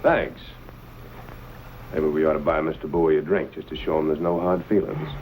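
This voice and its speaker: An actor playing a smooth-talking, scheming gambler and saloon keeper, sly and mock-courteous, in an old-time western drama. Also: male voice, extremely low pitch, steady tone, moderate pace, phone-quality sound. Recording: noisy old drama recording.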